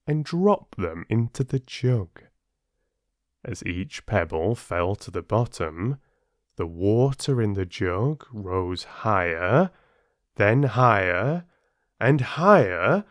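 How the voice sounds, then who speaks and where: A man who reads stories aloud and chats to his listeners in a playful, expressive way. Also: medium pitch, no room sound, clean studio sound. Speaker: audiobook narrator and channel host. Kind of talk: storybook narration and casual chat to listeners.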